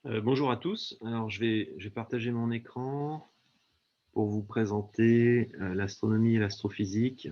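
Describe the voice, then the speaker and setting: A man gives an online presentation on a university department, with a mellow, dark voice. He hesitates a lot, using fillers and restarting words. The sound is dull and lo-fi, typical of a video call. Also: on the low side, steady tone, normal speed. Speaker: astronomy lecturer presenting his department. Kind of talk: online info-session presentation.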